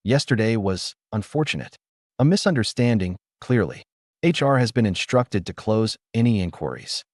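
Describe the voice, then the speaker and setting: A man's voice: lowish voice, sing-song, normal speed, a little mellow, dry recording, studio quality. A man reading the lines of a calculating corporate executive who sounds falsely jovial and politely threatening.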